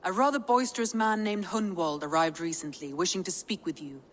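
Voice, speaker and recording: A woman's voice: fairly low pitch, fairly quick, noisy background, close to an everyday voice. Composed, wry woman adviser, played by an actress. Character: composed female clan adviser, formal and wry. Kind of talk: video game character acting.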